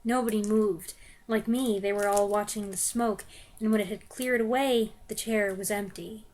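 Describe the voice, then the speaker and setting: A woman giving an expressive dramatic reading of a children's book, with joking asides. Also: medium pitch, normal speed, low-quality recording. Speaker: reader.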